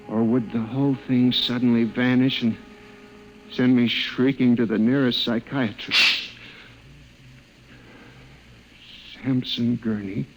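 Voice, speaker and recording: A man's voice: mid-range voice, rough recording. An actor playing an anxious male office scientist narrating his descent into paranoia in an old-time radio drama, with a tense, melodramatic delivery. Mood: grave, dramatic. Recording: vintage radio drama with music underneath.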